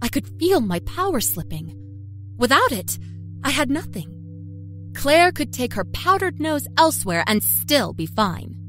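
A woman narrator giving an expressive, dramatic first-person reading of a scripted horror story. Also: high voice, unhurried, faint music behind. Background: musical score.

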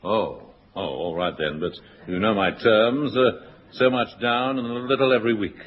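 A British man playing a deadpan adventurer in a 1960s radio comedy, telling his tale in measured, mock-dramatic fashion. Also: fairly low pitch, close to an everyday voice. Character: deadpan male adventurer narrating his own detective tale. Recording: very noisy, dull 1960s radio recording.

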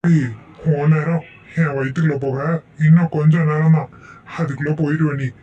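A man's voice: fairly high pitch, fairly even intonation, moderate pace, dark tone, far from the mic, lo-fi sound, lightly acted. A man voicing a greedy, boastful male eagle in a cartoon, talking in a gloating, threatening way. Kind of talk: cartoon voice acting.

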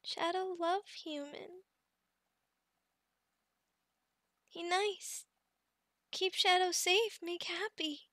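A woman playing a sweet, shy young adult female goblin in an ASMR roleplay, speaking in halting broken phrases and calling herself by name. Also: extremely high pitch, bright, forceful tone, no echo, studio quality, exaggerated voice. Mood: bashful, tender. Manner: halting broken speech, refers to herself in third person, giggly.

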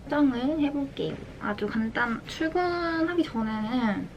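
A young adult woman from South Korea chats casually as a lifestyle vlogger during her daily routine, soft-spoken and unhurried. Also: high-pitched, animated, dull audio. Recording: clear background room noise.

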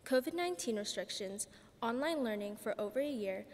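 A woman's voice: expressive, fairly quick. A teenage girl reading a prepared speech at a graduation ceremony, as one of the students. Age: teenager, about 14. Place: large church, indoors. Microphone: podium microphone. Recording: church reverb with faint rumble.

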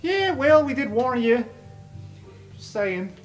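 A man's voice: extremely high pitch, sing-song, takes it slowly, pitched above the performer's own voice, full character voice. A man voicing a streetwise, chatty crook in a tabletop game, with a blunt, informal, rambling way of talking. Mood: wary, blunt. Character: streetwise, chatty male crook, loyal to his friends. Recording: music bed under the voice.

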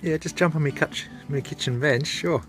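A man's voice: on the high side, moderate pace, music in the background. A man in his forties with an Australian accent, talking casually and unscripted as a laid-back, colloquial vlogger. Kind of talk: casual, unscripted vlog talk.

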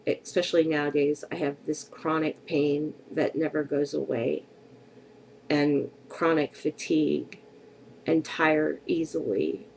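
Slow, candid woman with a cold. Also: sits low, fairly even intonation, vocal fry, a little mellow, slight background noise, rough recording. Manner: slow, candid and reflective, with frequent hesitations. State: congested from a cold. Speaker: vlogger. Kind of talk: personal vlog to camera. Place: bedroom at home, indoors. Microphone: webcam at desk distance.

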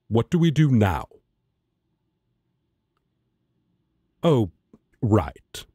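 A man's voice: on the low side, dark tone, husky, dry recording, studio quality, heavily acted. A man playing a haughty, protective angel in an audio drama, speaking in a formal, wary, disdainful manner. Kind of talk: roleplay audio drama acting.

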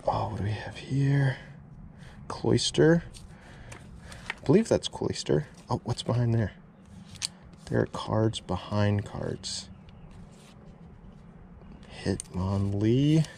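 A man's voice: on the low side, slow, creaky, pauses often, a little mellow, slight background noise. A man vlogging as he digs through a storage unit, amazed and repeating exclamations, filmed on a head-mounted camera. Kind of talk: excited unboxing vlog. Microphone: head-mounted action camera.

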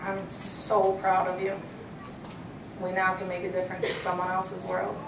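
A woman's voice: lowish voice, monotone, moderate pace, creaky. An American woman giving a prepared thank-you speech as a student speaker at a graduation. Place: hotel hall, at a podium on stage. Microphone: podium mic, captured by far audience camera. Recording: echoey, distant, noisy hall recording.

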